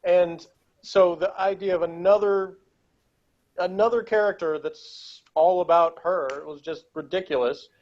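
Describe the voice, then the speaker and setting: Man, slightly high voice, blunt opinions, casual review chat, slightly muffled lo-fi sound. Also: steady tone, unhurried, a little mellow. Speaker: podcast reviewer.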